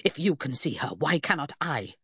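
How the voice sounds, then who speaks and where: Casting: haughty, grieving middle-aged mother, played by a female narrator, indignant and commanding, husky voice that climbs high, close dry sound. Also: animated, moderate pace, no echo. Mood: icy, indignant. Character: grief-stricken, imperious, furious middle-aged mother. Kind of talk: audiobook character acting.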